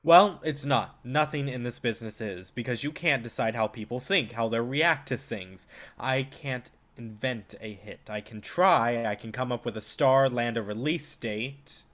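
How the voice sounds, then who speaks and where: A man's voice: mid-range voice, a little slow, a bit bright, lightly acted. Hard-nosed movie boss, male, read by an actor on stage: mid-range, vengeful, scornful, lecturing. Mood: heated lecturing. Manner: profane, belittling, driven. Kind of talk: staged reading of a play.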